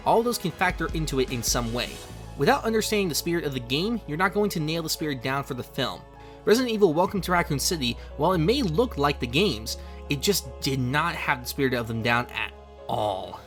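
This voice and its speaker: A man with a fairly high voice delivers a scripted video essay over music. He talks fast, in a conversational and emphatic way. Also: fairly bright tone. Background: music under the voice.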